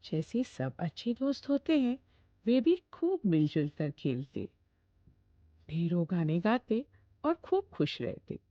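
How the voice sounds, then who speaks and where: Slow, expressive woman narrating. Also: lowish voice, no echo, muffled recording. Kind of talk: storybook narration for children.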